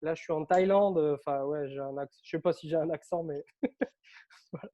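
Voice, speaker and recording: A French man with a somewhat high yet mellow voice, talking as an interview guest. He speaks in a lively, chatty way and often uses filler words. He grew up on Réunion Island but carries no accent. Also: fairly quick, dull audio. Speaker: interview guest, author and coach. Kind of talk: casual interview storytelling.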